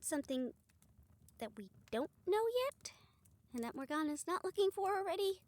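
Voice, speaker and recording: A woman's voice: high-pitched, expressive, moderate pace, a little mellow, no echo. A woman playing a concerned, exasperated young woman in an audio drama, casual, emphatic and affectionate. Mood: pressing concern. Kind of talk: audio roleplay drama acting.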